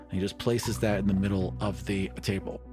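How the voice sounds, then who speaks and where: A man's voice: low-pitched, level tone, brisk. Male game master, music faintly behind. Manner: conversational, informal. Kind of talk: improvised tabletop roleplay and scene narration.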